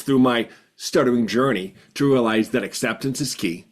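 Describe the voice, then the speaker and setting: A man in his fifties who stutters, sharing earnestly and reflectively in a group video call, repeating words for emphasis. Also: medium pitch. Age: 50s. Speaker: support group participant.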